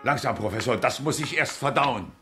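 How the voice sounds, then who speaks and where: An actor playing an impatient, grumbling general in a children's sci-fi radio drama, with an emphatic, commanding delivery. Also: male voice, medium pitch, expressive, normal speed, music in the background, natural voice. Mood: puzzled. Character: impatient, blustery male general, bold and grumbling.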